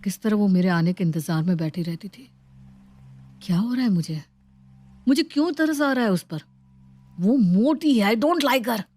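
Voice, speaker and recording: A woman's voice: medium pitch, lively intonation, unhurried, pauses often, darkish tone. A woman voicing a grumpy, self-pitying young husband who complains his way through a domestic story. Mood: brooding. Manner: complaining, dismissive.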